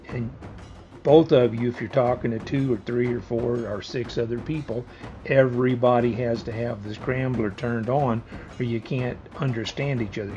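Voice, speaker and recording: A man's voice: medium pitch, darkish tone, highs cut off. A man giving a casual, plain-spoken hands-on product walkthrough, explaining things step by step. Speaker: product reviewer and demonstrator.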